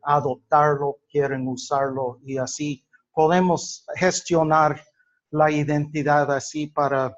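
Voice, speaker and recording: A man gives an explanatory lecture, illustrating it with personal examples. His voice sits slightly high and he speaks very slowly and deliberately, halting between phrases. The recording is dull and lo-fi. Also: flat delivery. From United States. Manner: halting, deliberate, with drawn-out pauses. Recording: dull lo-fi sound.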